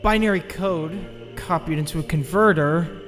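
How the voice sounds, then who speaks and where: A man's voice: fairly high pitch, measured pace, big-room sound, over music, lightly acted. A man playing an earnest, nerdy, anxious teenage boy in a dramatized comic dub. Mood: thoughtful, uneasy. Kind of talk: dramatized comic dub, dialogue and first-person narration.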